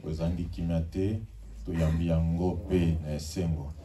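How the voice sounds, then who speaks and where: A man's voice: low voice, flat delivery, measured pace. A man giving formal church announcements and leading call-and-response during a service. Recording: distant mic with faint noise.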